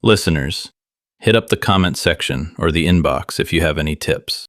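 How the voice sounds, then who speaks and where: Conspiracy show host, deep low voice, wry, conspiratorial, conversational, audio drama monologue, dry recording. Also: male voice, normal speed, fairly bright tone, dry recording. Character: wry conspiracy radio show host, Miller, grown man.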